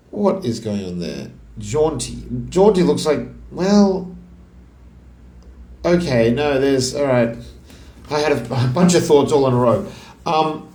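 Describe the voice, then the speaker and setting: A man thinks aloud in a casual, musing, self-questioning way as he solves puzzles, his voice sitting deeper than usual. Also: darkish tone. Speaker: crossword solver and video host. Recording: echoey room.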